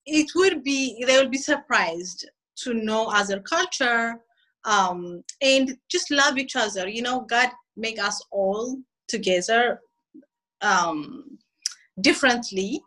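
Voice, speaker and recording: A woman's voice: fairly high pitch, sharp tone, poor audio. A woman who is a non-native English speaker, chatting casually and earnestly as an agreeable guest on an online call. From immigrant from outside the United States. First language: not English. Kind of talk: casual chat.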